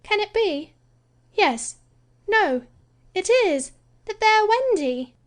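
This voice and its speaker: Woman doing high, theatrical girl storyteller Wendy. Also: expressive, normal speed. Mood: storytelling. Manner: theatrical, sing-song telling. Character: earnest girl storyteller, motherly and dramatic.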